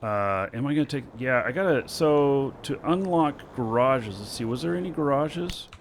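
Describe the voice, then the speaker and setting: A man streaming a video game, talking slowly to himself with many fillers as he works out what to do next. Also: medium pitch, animated, background noise. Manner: slow, thinking aloud with many fillers. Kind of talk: casual gameplay commentary.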